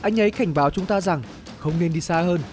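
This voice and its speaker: A man voicing an earnest, high-pitched female assistant. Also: fairly quick, faint music behind, close to an everyday voice. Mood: warning. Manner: earnest, urgent. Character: dutiful, cautious female research assistant translating for explorer.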